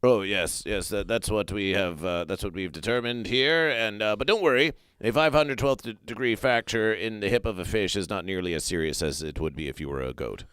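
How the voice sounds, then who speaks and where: Male fish doctor, improvised, low smooth voice, halting patter, a man doing it. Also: fairly bright tone, no room sound, natural voice. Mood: clinical. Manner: halting, full of fillers and restarts. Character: rambling male fish doctor, self-assured and hesitant. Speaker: comedy performer. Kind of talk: improvised comedy sketch.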